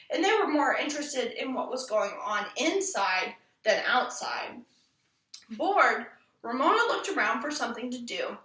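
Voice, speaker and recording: A woman reading a children's book aloud in an expressive storytelling style. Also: high-pitched. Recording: roomy echo, distant from mic.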